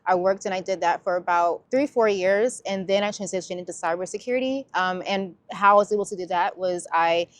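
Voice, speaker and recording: A woman's voice: mid-range voice, brisk, hardly any pauses, dry recording. A young American woman from California, a street interviewee who talks casually and says "like" often. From San Diego, California. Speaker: interviewee, cybersecurity analyst.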